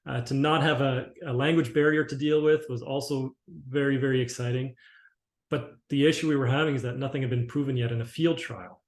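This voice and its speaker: A man's voice: mid-range voice, steady tone, a little fast. A man from Canada giving a webinar presentation for his company, rambling with many restarts and fillers. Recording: echoey room.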